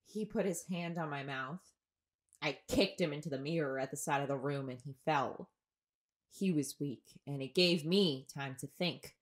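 A woman playing a shaken but determined young woman, telling grim events in an audio drama with halting, deliberate delivery. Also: low voice. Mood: tense, defensive. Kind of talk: audio drama narration and dialogue.

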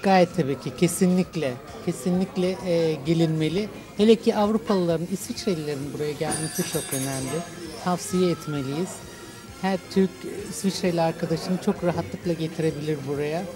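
A woman's voice: low voice, fairly quick, music in the background, rough recording. A middle-aged woman speaking emphatically as an interviewed diner, on a handheld microphone in a restaurant. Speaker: restaurant customer being interviewed. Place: restaurant dining room. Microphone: handheld reporter microphone.

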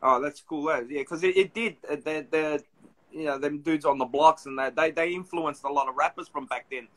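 A man's voice: on the high side, brisk, fairly bright tone, low-quality recording. A man, hosting a casual interview over a phone video call, asking rambling questions with many restarts. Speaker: host. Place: small room indoors. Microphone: phone front camera.

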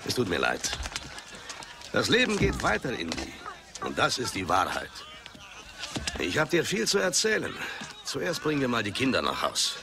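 An actor playing a hearty, loyal sidekick in a dubbed film, emphatic and warm in manner. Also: male voice, medium pitch, huge pitch swings, moderate pace, gravelly, long pauses. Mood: consoling. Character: hearty, loyal friend of the adventurer. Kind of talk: dubbed 1980s film dialogue. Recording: noisy film soundtrack ambience.

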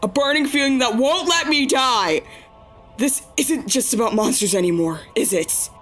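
A woman's voice: high-pitched, moderate pace, a bit bright, faint music behind. Woman as a fierce, defiant monster warrior woman. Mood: pained, determined. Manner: intense, heroic. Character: fierce, gruff female monster warrior, wounded but defiant. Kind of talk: dramatic comic dub acting.

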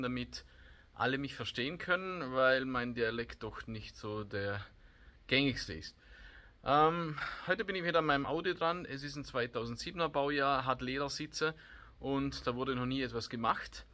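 Relaxed man in his 40s. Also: medium pitch, normal speed. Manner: relaxed, hesitant with fillers, explaining as he works. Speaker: DIY video host. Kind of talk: casual workshop tutorial. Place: home garage workshop, indoors. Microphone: camera mic, close then farther as he works on the seat.

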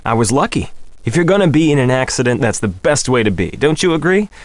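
Casting: male actor as vain soap heartthrob for a mystery game. Mid-pitched, lively, suave flirt, close dry sound. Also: fast talker, no room sound, lightly acted. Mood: breezy, dismissive. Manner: cocky, teasing, suave flirting. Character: vain, flirtatious soap opera heartthrob, smooth-talking charmer. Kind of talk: video game character dialogue.

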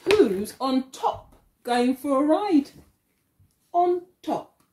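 A middle-aged woman reads a picture book to little children in the manner of an early years teacher. Her tone is mellow and her pitch moves up and down a lot. She takes her time, shaping each question clearly for young listeners. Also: a little hiss or hum. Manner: expressive, deliberate, questioning delivery for small children. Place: living room at home, indoors.

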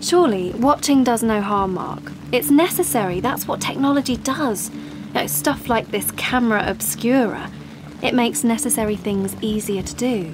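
Woman acting an assertive high-voiced young woman. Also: moderate pace, noisy background, natural voice. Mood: insistent. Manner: insistent, explanatory. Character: young woman, Mark's assertive, persuasive companion. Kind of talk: audio play monologue and dialogue.

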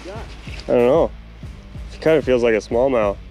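A young man filming himself fishing on a riverbank with a head-mounted camera, chatting casually and getting excited over catches. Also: medium pitch, unhurried, lo-fi sound. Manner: excitable, swears when fish strike. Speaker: fishing vlogger. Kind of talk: casual running commentary while fishing. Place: outdoors on a riverbank. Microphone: head-mounted action camera. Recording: music playing under the voice.

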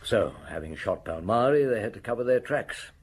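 A gruff, shrewd police inspector in a radio drama. His voice is low and husky, with lively swings as he commands and reasons. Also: normal speed. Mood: accusing, explanatory.

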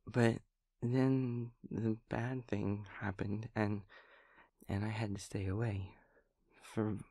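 A man playing a gentle, naive, scatterbrained young daemon friend in an audio roleplay, speaking in hesitant, childlike rambles. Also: fairly low pitch, level tone, slow, gravelly, dry recording, heavily acted. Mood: earnest, confused. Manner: hesitant, stammering, rambling, childlike, softly giggling.

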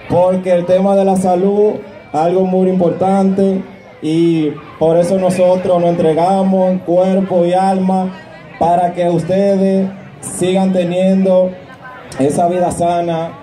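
Slow, flat-toned man speaking earnestly. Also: high voice, a little hiss or hum. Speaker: fitness group leader. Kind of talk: short public speech. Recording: distant mic on a street with faint crowd.

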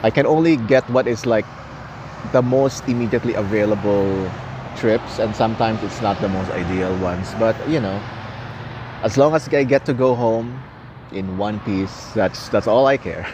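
A man's voice: lowish voice, moderate pace. A young man who speaks Tagalog as his first language, chatting casually with viewers on a live stream outdoors at night. He laughs often and repeats words while he talks. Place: outdoors at a gas station at night. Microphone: phone camera at arm's length. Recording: faint outdoor noise. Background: traffic and city ambience.

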